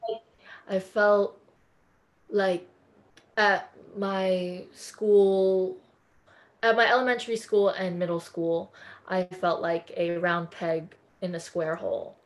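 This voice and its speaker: A woman's voice: medium pitch, steady tone, slow, poor audio. Woman guest gushing away, earnest, casual podcast chat, from california. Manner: effusive and earnest.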